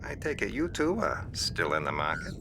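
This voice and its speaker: Gravelly, shady arms dealer. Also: male voice, sing-song, moderate pace, exaggerated voice. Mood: sly. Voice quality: gravelly, creaky. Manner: folksy, sly salesman. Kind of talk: cartoon video game acting. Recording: noisy, with low rumble behind.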